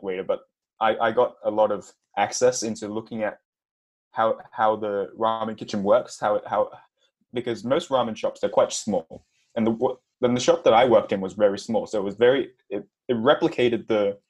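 A young man in his twenties, a podcast guest who speaks in a nervous, halting way with frequent stumbles. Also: lowish voice. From Australia. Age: 20s. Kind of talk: casual interview.

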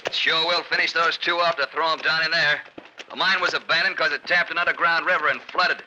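An actor playing a rough, callous Old West outlaw with blunt, folksy cowboy speech in an old-time radio western. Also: male voice, on the high side, fairly even intonation, brisk, bright, forceful tone. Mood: gloating. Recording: very noisy, crackly old radio recording.

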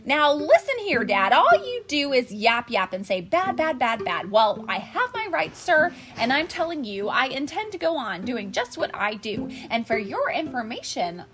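Woman acting blustering male boss, greedy, defiant, storybook rhyme, lively high pitch. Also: moderate pace, few pauses, over music. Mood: defiant anger. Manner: blustering, emphatic, repetitive rhyming.

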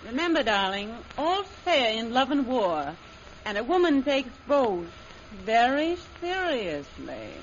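A cool, scheming adventuress in a vintage radio serial. Her sultry lines swing widely in pitch with a taunting edge. The recording is muffled and noisy. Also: very animated, measured pace. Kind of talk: 1930s radio acting.

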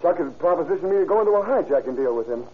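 An actor playing a cornered, stammering robber who pleads in an old radio crime drama. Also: male voice, on the high side, animated, rapid-fire, slightly rough. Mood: resigned confession. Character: cornered male robber, desperate to protect his girl. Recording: muffled, narrow-band old radio recording.

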